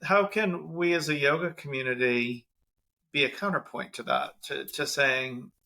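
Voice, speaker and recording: A man's voice: mid-range voice, fairly even intonation, unhurried, gravelly, pushed and bright. A man in his fifties hosting a podcast interview in a playful, teasing way, laughing between his phrases. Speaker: podcast host and yoga teacher.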